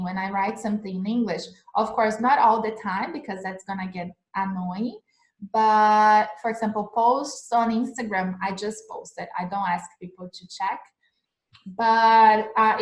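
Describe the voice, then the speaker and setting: A young Brazilian woman whose first language is Portuguese, giving a relaxed English lesson over a phone livestream. She talks in a chatty way, checking in with "right?" and "like", at a middle pitch. Her voice carries a roomy echo. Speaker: English teacher.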